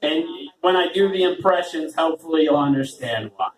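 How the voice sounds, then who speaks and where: Self-mocking comedian in a roomy club. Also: male voice, on the high side, expressive, brisk. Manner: rambling, self-deprecating, laughs often. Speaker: open mic host and comedian. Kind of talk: stand-up comedy set. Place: small open mic venue with a talking audience. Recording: roomy audience recording from far off.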